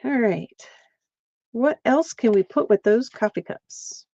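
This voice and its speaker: A woman's voice: on the high side, lively intonation, takes it slowly, vocal fry, dark tone, no echo, dull audio. A middle-aged woman from Pennsylvania, chatting casually with viewers while she crafts on a livestream. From central Pennsylvania.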